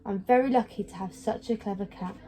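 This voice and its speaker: A woman voicing an excitable, easily cross storybook witch in an expressive, exclamatory manner. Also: medium pitch, a little fast, lightly acted. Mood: grateful.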